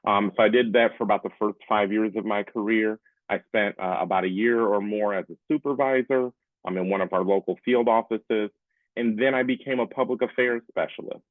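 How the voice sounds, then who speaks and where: A man's voice: on the low side, fairly even intonation, fairly quick, dry recording. A man in his forties giving an informal webinar talk in a conversational way, often checking in with his listeners. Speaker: presenter, public affairs specialist. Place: small room at home, indoors. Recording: muffled phone-like webcam audio.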